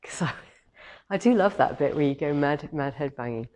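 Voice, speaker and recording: A woman with a head cold, slightly hoarse, hosting a rambling, cheerful live-stream chat from a large quilting showroom. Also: deep voice, lively intonation, moderate pace, darkish tone. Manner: chatty and cheerful, rambling with many ums. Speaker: quilting shop owner hosting a live demo. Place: large quilting showroom with longarm machines, indoors. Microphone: camera on a tripod a few metres away.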